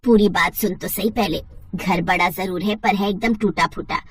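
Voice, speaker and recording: Woman playing a scornful, low-toned middle-aged stepmother. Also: steady tone, moderate pace, breathy edge, lightly acted. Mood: scheming. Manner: scornful, bossy. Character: mean, scheming middle-aged stepmother, cold and contemptuous. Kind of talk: Hindi moral-story cartoon acting.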